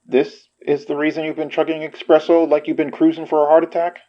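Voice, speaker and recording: A man playing a gruff, skeptical detective in an audio drama, blunt and sardonic. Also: fairly high pitch, flat delivery, brisk, dull audio, close to an everyday voice. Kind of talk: audio fiction podcast acting.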